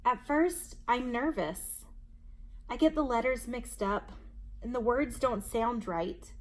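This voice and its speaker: A woman hosting a children's story time, reading aloud expressively and deliberately for young listeners. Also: fairly high pitch.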